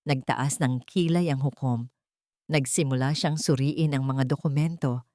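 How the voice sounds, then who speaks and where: A woman reading a scripted first-person dramatic story in a measured, expressive way. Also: a bass voice, no room sound, studio quality. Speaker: audio story narrator.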